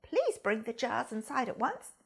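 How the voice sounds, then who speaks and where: A woman's voice: fairly high pitch, very animated, moderate pace, creaky, fairly bright tone, husky, pitched below the performer's own voice, heavily acted. A woman playing a clever young male fox in a storybook, exclamatory, playful and crowing. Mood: feigned delight, sly.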